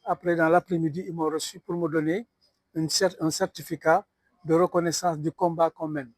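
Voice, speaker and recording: A man's voice: a little high, flat delivery, normal speed, no echo. A man from Senegal, a sculptor guest in an interview, telling his story in an easygoing way with many hesitations. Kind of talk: conversational interview.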